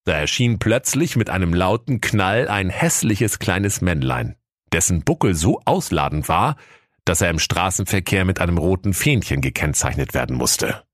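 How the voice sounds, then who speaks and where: A man's voice: low-pitched, sing-song, moderate pace, no echo, studio quality. A man narrating a comic fairy tale as storyteller in a mock-solemn manner.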